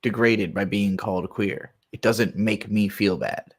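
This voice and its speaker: A man's voice: on the low side, a little slow. A man giving casual, unscripted reaction commentary as a streamer, in a conversational, rambling and sweary way. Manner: conversational, rambling, sweary, acts out imagined replies.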